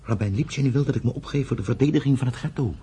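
An actor playing a hesitant, dutiful grown son in a radio play, speaking quietly and respectfully. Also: male voice, medium pitch, quick pace, slightly rough. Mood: apologetic. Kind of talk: subdued radio play acting.

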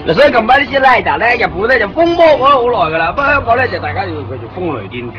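A chatty Hong Kong guide in Tokyo. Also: male voice, sits high, racing, music in the background. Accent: Hong Kong Cantonese. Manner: talkative, excitable, joking. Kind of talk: 1990s radio drama acting. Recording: dull lo-fi 1990s radio recording.

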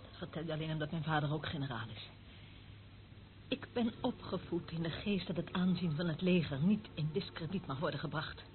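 An actress playing a haughty, prim, morally outraged general's daughter in a Dutch radio drama, speaking reproachfully. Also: female voice, deep voice, brisk, close to an everyday voice. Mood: indignant. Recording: tinny, narrow-band old radio recording, faint noise.

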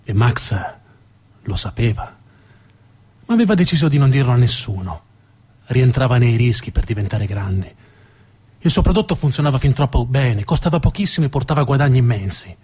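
A man performing dramatic scripted narration as a theatre storyteller, with a deliberate, emphatic delivery and dramatic pauses. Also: mid-range voice, very animated, a little mellow, slightly rough.

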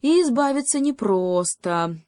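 A woman's voice: a little high, expressive, a little slow, a little mellow, no room sound, studio quality, voice pushed up. A woman voicing a sly male hedgehog in a children's story, speaking with mock gravity and teasing deliberation. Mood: mock-serious.

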